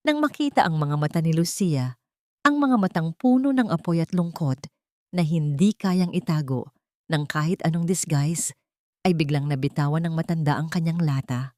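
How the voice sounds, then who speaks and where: Low-pitched Filipino woman narrating drama. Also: normal speed, dark tone, no echo, clean studio sound. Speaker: audiobook narrator. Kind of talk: dramatic scripted storytelling.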